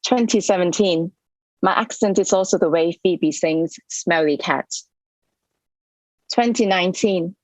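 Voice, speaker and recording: Low-voiced Hong Kong woman reading poems. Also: no room sound, low-quality recording. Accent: Hong Kong English. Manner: soft-spoken, measured, with frequent ums. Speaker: poet reading her work. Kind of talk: poetry reading with spoken introductions. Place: home, at an online reading. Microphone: computer mic on a video call.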